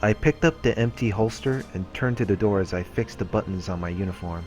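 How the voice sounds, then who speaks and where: Low male voice, let's play host, reading story aloud, casual muttered asides, faint music behind. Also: fairly quick. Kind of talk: reading visual novel text aloud with casual commentary. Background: game background music.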